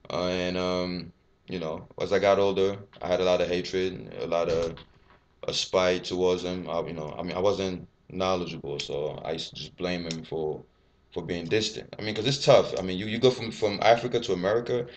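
A man's voice: low voice, a little hiss or hum. A man in his twenties, born in Ivory Coast with French as his first language, talking candidly to a webcam in a small room, rambling and swearing freely. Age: 20s. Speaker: fitness vlogger. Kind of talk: casual personal vlog to camera. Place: small bare indoor room. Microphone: webcam at desk.

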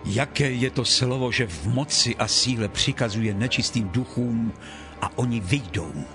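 A man acts out an astonished townsman in a dramatized Bible. His voice is mid-range. Music is clearly heard beneath it. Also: mid-range voice, natural voice. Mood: amazed. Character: astonished townsman marvelling at authority. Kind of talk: dramatized Bible audio acting.

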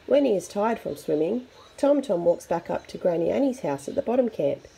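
A woman reading a picture book aloud to young children in an expressive, chatty way. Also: lowish voice, a little fast, gravelly, husky, rough recording. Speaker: storybook reader for children.

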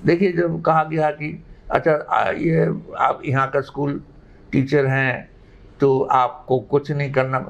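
Older man, gravelly, emphatic interview answers, slightly muffled recording. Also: a little high, flat delivery, takes it slowly. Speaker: interview guest. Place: living room indoors. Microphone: lapel mic.

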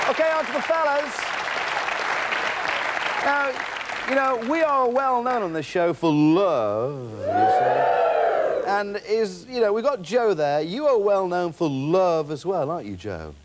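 A man's voice: high-pitched, huge pitch swings, measured pace, pauses often, over a noisy crowd. A man, hosting a television dating game show with jokey, teasing banter. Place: TV studio stage with live audience. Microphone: lapel mic. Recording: old VHS TV copy, loud audience and hiss.

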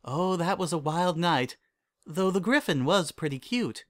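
A man voicing a calm, kindly female pony princess and mentor in a storybook reading, speaking in a gentle, measured, regal way. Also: on the high side, voice pushed up. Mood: composed, amused. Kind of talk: storybook character dialogue.

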